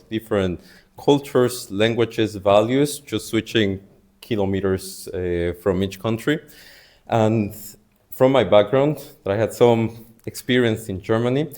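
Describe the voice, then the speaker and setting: A man's voice: lowish voice, a little mellow. A man from Mexico City with a Mexican accent, a native Spanish speaker and student, answering conversationally in short, emphatic phrases. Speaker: master's student, podcast guest.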